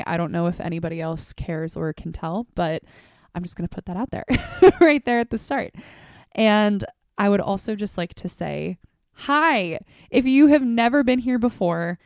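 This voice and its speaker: A young adult woman talks straight into the mic in a chatty, earnest way, full of little fillers and repeats. Her voice sits a bit low for a woman and stays smooth and resonant, with a slight stuffiness from a cold. The sound is close and dry, with no room around it. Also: no room sound. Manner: chatty, earnest, warm welcoming tone with frequent fillers. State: slightly congested from a cold or allergies. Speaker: podcast host. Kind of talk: solo podcast monologue.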